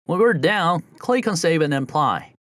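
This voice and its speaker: Male tutorial narrator, slightly high register, very animated intonation, methodical instructional read, dry close-miked voice-over. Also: a little slow, no echo. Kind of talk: scripted step-by-step software tutorial narration.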